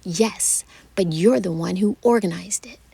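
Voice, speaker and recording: A sharp-tongued, fussy older mother bickering about every turn and every detail, as a woman narrator puts her on. She sounds gravelly and husky, dropping her words slowly and indignantly. The scolding stays close and soft rather than shouted. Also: mid-range voice, no echo, full character voice. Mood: teasing. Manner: nagging, indignant, quick to argue.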